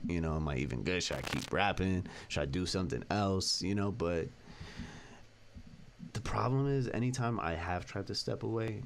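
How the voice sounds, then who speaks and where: A young man, a rapper, talking in a casual interview with a deep voice and a laid-back, slangy, candid manner. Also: normal speed, slight background noise. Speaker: rapper guest.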